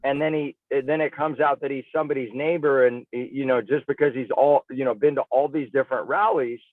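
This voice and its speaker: A man's voice: mid-range voice, fairly even intonation, a little fast, dry recording. A male interview guest talking casually and with firm opinions, emphatic and conversational, over a thin phone-like connection. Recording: dry, tinny phone-like radio sound.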